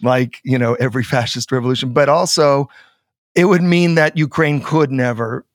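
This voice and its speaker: A man's voice: mid-range voice, moderate pace, no echo, studio quality. A man talking politics in a loose, rambling way, often swearing and trailing off mid-sentence. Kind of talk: casual political chat.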